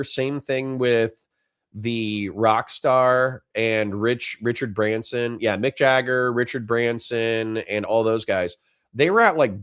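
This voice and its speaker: A man's voice: medium pitch, a bit bright, no echo. A middle-aged man hosting a casual livestream, reading chat messages and thanking supporters in a dry, sincere way with frequent restarts. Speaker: livestream host.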